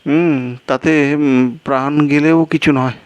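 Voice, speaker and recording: An anxious, gullible man played by a male storyteller talks in a raised, strained-up pitch. He is easily flustered, stammering and exclaiming as he protests. It is an audio story, recorded close and dry. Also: fairly even intonation, a little slow, clear, resonant tone, no room sound, natural voice. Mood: agitated. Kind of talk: Bengali audio story character dialogue.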